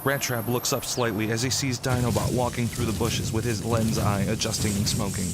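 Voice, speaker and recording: A man's voice: on the low side, little pitch movement, brisk. A man narrating a scripted radio drama, describing the action in the present tense. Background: music.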